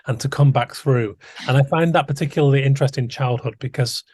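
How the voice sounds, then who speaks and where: A man with a slightly husky voice, recorded dry and close. He hosts an interview podcast and muses thoughtfully, often restarting his phrases as he works through an idea. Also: medium pitch, fast talker, darkish tone, no echo. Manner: thoughtful, musing, frequent restarts. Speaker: podcast host. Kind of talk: conversational interview.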